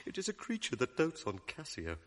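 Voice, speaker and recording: A man's voice: fairly high pitch, fairly bright tone, heavily acted. An actor playing a cunning, mocking male ensign who whispers sly asides, in a 1980s radio drama. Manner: sly, taunting, confiding asides.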